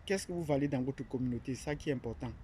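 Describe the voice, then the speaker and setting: A man from Cameroon speaks in a mellow, rather dark voice, unscripted and searching for words. He pauses often, fills gaps and restarts his sentences. A faint hiss sits under the recording. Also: medium pitch. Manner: hesitant, many fillers and restarts. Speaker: academic presenting his platform. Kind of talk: unscripted monologue.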